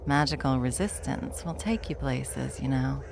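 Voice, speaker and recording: A woman playing an ancient, smug, wry stranger in an ASMR fantasy roleplay, with theatrical, taunting delivery and a gravelly voice. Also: very deep voice, noisy background, heavily acted. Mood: dismissive. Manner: sardonic, theatrical, unhurried taunting. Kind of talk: ASMR audio roleplay acting.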